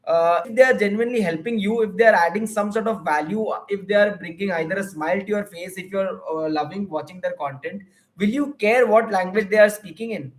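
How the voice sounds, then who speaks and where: A man's voice: sits high, brisk, poor audio. A young Indian man with an Indian English accent, a YouTuber and counselor answering viewer questions on a livestream in an earnest, advice-giving way, with many fillers and restarts.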